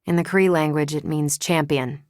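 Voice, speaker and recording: A woman's voice: sits low, fairly even intonation, normal speed, darkish tone, no room sound, studio quality, natural voice. An American woman voicing a confident, wry military superheroine in a video game, with a casual, dryly humorous manner. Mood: earnest, assured. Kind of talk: video game character dialogue.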